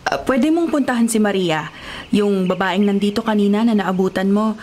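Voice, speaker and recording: A woman playing a kindly, welcoming small-town nun in a Filipino radio drama, with a gentle, counseling manner. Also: mid-range voice, normal speed, natural voice. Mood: helpful.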